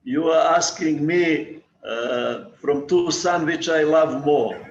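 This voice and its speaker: An older man from Serbia with a Serbian accent, a basketball coach answering interview questions in halting English full of "you know". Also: a little high, echoey room, lo-fi sound. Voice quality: halting, filler-heavy non-native English, repeats "you know". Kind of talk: video interview.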